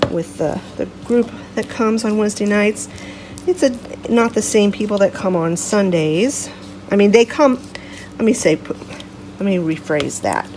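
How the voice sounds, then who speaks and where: A middle-aged woman talks to her vlog viewers in a loose, rambling way, often correcting herself mid-sentence. Her mid-range voice sounds stuffy and gravelly from a lingering cold. A faint hiss sits under the recording. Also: mid-range voice. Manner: rambling and chatty, self-correcting. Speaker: vlog host. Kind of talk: casual vlog chat.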